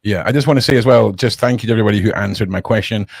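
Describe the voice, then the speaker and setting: A man's voice: fairly low pitch, rapid-fire, vocal fry, breathy edge, dry recording, studio quality. A man casually hosting a streamed panel, loosely repeating himself as he steers the talk. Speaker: host.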